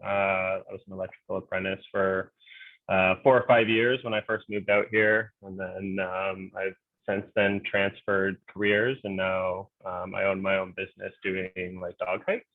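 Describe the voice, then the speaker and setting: A man's voice: low-pitched, little pitch movement, normal speed, no echo. A man from Ontario, co-hosting a casual game fan livestream, talking in an easygoing way with many fillers. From Ontario, Canada. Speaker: co-host, game fan group. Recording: dry, tinny phone-like audio.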